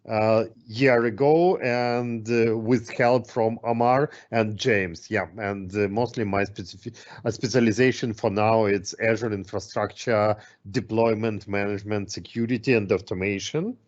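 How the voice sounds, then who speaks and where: A man's voice: medium pitch, a little mellow. A man from Slovakia introducing himself on a video call, hesitant and searching for words. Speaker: guest. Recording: dull video call audio.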